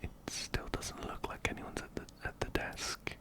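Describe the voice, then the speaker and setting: A man whispering ASMR game commentary very close to a binaural mic, gently repeating his phrases. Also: normal speed. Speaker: ASMR host playing a video game. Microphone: close binaural microphone, ear to ear.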